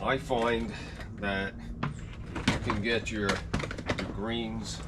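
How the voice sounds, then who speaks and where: A man's voice: fairly low pitch, level tone, extremely slow, very noisy. A man chatting in a folksy, casual way to viewers as he demonstrates gardening equipment hands-on. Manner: folksy, chatty, talking while working. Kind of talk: casual hands-on product review talk to viewers.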